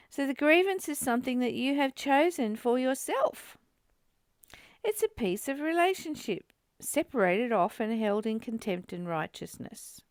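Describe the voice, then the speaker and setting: A woman's voice: on the high side, creaky, a little mellow, no echo, studio quality. A woman hosting a spiritual study, speaking in a reflective, confiding and openly emotional way, repeating phrases for emphasis. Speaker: host of a spiritual book study.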